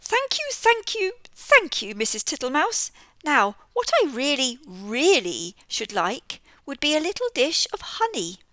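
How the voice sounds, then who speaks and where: A jolly, greedy old toad man who chants his lines in a wheezy, swooping sing-song, as a British woman puts him on for a storybook reading. His voice jumps high and sinks low. The sound is dry and close. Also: sing-song, unhurried, fairly bright tone, no room sound, heavily acted. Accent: British English. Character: large greedy toothless male toad, jolly.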